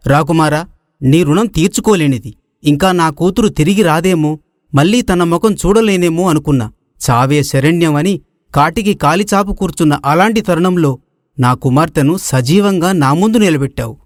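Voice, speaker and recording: Man playing a gracious king with raised pitch. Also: moderate pace, no room sound, clean studio sound, close to an everyday voice. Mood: grateful, relieved. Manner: formal, declamatory, emotive. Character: grateful, gracious king and relieved father. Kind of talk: Telugu moral story voice acting.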